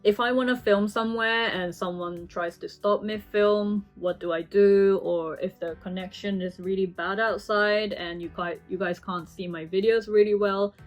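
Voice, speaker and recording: Young woman, casual vlogger chat answering questions, soft music bed behind her. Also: mid-range voice, fairly even intonation, normal speed, hardly any pauses, highs cut off. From Japan. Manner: chatty, many "you know" fillers.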